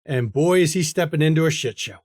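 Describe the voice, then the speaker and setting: A man's voice: fairly high pitch, animated, a little fast, darkish tone, no room sound, clean studio sound. A man with an American accent hosting a game stream in a casual, chatty style. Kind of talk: casual chat and stream outro.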